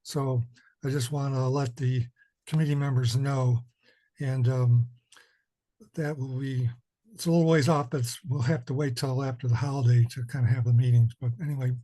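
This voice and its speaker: A middle-aged man giving a committee report in a virtual meeting, soft-spoken and halting, with many fillers. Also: medium pitch.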